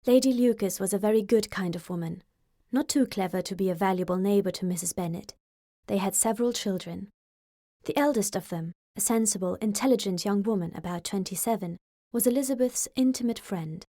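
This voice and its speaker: A woman's voice: mid-range voice, brisk, dark tone, no room sound, studio quality. A woman reading scripted literary narration as an audiobook narrator.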